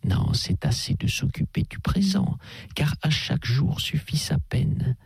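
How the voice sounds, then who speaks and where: A man reading an audiobook, voicing a kindly older priest who coaxes gently in a soft, half-whispered way. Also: on the high side, sing-song, a little slow, gravelly, breathy edge, dry recording, studio quality, voice pushed up, heavily acted. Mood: gently persuasive. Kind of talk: audiobook character voice.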